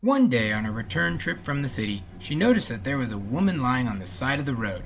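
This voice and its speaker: A man's voice: medium pitch, very animated, brisk, fairly bright tone, a little hiss or hum, rough recording. A man hosting a story podcast, talking and narrating in a casual, folksy way.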